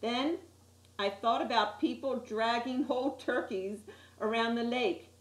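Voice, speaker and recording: A woman reading a children's picture book aloud with dramatic, deliberate emphasis on key words. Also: a little high. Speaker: storytime reader. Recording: roomy, echoey sound.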